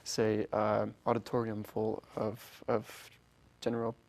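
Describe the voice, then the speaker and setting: Gravelly-voiced young man, earnest and halting. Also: fairly low pitch, monotone, darkish tone. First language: Polish. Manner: earnest, halting with many restarts. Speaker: orchestra founder, guest. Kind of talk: interview conversation.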